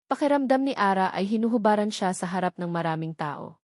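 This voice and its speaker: A woman's voice: mid-range voice, animated, fairly quick, darkish tone, no room sound, clean studio sound. A woman reading scripted, dramatic narration of a love story.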